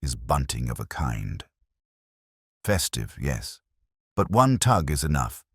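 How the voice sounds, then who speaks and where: Man reading as mild, very deep-voiced inspector. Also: very animated, breathy edge, no room sound, studio quality, heavily acted. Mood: murmured, wry. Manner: quiet, deliberate, understated. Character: mild, thoughtful, quietly wry male police inspector.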